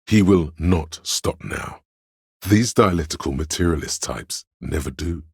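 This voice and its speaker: Pompous, cavernously deep gravelly inner voice. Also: male voice, expressive, darkish tone, clean studio sound, heavily acted. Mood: brooding, ominous. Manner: pompous, theatrical, deliberate diction. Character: cerebral, pretentious inner voice of artistic imagination. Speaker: game voice actor. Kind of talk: dramatic scripted game narration.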